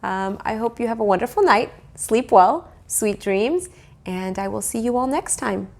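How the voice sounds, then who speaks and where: A woman reads a children's book aloud like a teacher to her class. Her delivery is expressive and animated, with pitch that rises and falls freely. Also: moderate pace, gravelly. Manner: expressive, addressing children directly. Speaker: teacher reading aloud to pupils. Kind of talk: storybook read-aloud narration.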